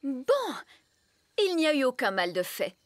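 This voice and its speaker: A woman playing a fussy, imperious fairy nanny in a French cartoon dub, brisk and bossy with theatrical exclamations. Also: high voice, very animated, no echo.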